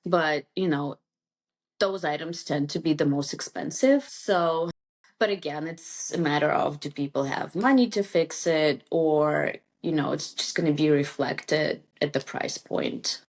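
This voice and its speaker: A woman's voice: deep voice, unhurried. A woman whose first language is Russian, chatting casually and informally as a real estate agent being interviewed.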